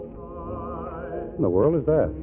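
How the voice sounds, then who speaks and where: An actor playing a jealous, stubborn, ardent husband in an old radio comedy, gruff and clipped. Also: male voice, on the high side, animated, slow pace. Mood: wistful. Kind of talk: 1940s radio comedy acting. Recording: tinny old radio broadcast, loud music behind.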